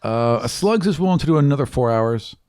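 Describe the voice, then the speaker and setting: A man improvises as game master in a very animated, swooping voice. He is casual and playful, slipping into small acted bits, and the sound is close and dry. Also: medium pitch, fairly quick, smooth, dry recording.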